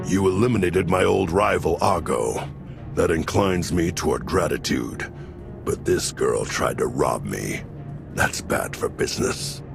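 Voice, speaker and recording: A gruff ex-soldier turned smuggler, speaking in an extremely low voice with a heavy gravelly rasp. He bargains with menace, in video game style, over clearly audible music. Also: lively intonation, measured pace, full character voice. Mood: menacing. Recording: loud music underneath.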